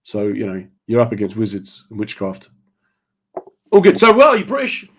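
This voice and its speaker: Teasing, lively-voiced male host. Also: on the low side, a little hiss or hum. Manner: teasing, jokey, quick asides. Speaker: radio show host. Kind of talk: joking casual chat.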